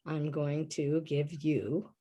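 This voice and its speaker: A woman's voice: sits low, little pitch movement, slow pace, mellow, breathy edge. A woman hosting a live show with casual introductions, her voice a little worn as if she is losing it. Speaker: show host.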